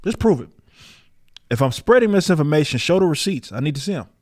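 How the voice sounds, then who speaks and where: A man's voice: on the high side, sing-song, fast talker. Combative, sweary man hosting a podcast. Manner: combative, sweary, challenging, emphatic. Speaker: podcast host. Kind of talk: opinionated casual commentary on a live stream.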